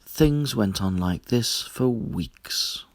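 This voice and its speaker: A man narrating a children's story in an expressive, deliberate storytelling manner. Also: on the low side, dry recording, studio quality. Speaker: storybook reader.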